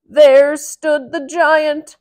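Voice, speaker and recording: A woman's voice: high voice, little pitch movement, extremely slow, pitched above the performer's own voice. A woman voicing a frightened male wagon driver telling of danger in a children's storybook. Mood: alarmed.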